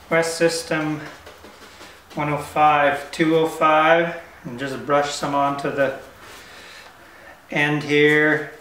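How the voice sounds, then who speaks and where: An older man calmly explaining, step by step, as he builds a wooden kayak in his workshop. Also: fairly high pitch, recorded from a distance, a little hiss or hum. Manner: calm, matter-of-fact explaining while working. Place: workshop, indoors. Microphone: camera mic at a distance. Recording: echoey workshop, voice from off-mic.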